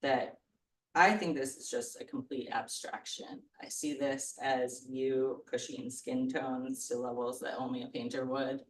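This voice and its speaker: Woman painter, scratchy voice, earnest and reflective; distant, roomy live-event sound. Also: a bass voice. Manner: thoughtful, earnest, gently admiring. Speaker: painter and guest. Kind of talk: casual public conversation. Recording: roomy sound from far off the mic.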